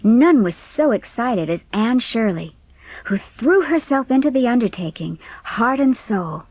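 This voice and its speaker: Lively woman narrator, dry close sound. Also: a little high, measured pace, no room sound. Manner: expressive storytelling. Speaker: audiobook narrator. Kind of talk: scripted storybook narration.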